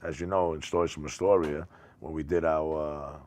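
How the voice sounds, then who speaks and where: Deep-voiced middle-aged man chatting casually. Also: steady tone, moderate pace, darkish tone. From born in Greece, came via London to the United States. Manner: jokey, often punctuates with "you know". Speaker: talk show guest, comedian and writer. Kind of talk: casual interview chat.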